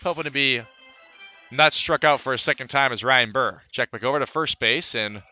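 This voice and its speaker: A man's voice: mid-range voice, moderate pace, bright, forceful tone, faint music behind. An American man calling a baseball game as a live radio play-by-play broadcaster. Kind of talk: live radio sports commentary.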